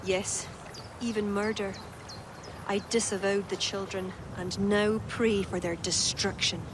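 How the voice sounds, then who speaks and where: An actress playing an earnest former druid noblewoman who speaks in a soft, confiding, measured way. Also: mid-range voice, steady tone, lightly acted. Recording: game cutscene with clear background noise.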